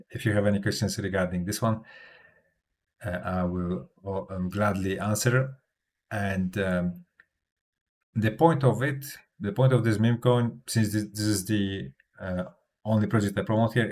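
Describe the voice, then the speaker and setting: A man from Europe, speaking English as a second language with a non-native accent, talking casually on a livestream with many fillers and restarts. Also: fairly low pitch. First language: not English. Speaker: crypto livestreamer.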